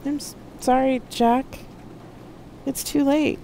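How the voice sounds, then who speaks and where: A woman voicing a gentle, sorrowful, loyal male ship intelligence in a sci-fi audiobook, with noise behind the voice. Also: fairly high pitch, normal speed, vocal fry, voice pushed up, rough recording. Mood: sad, gentle. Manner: gentle, apologetic. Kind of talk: dramatic sci-fi audiobook dialogue.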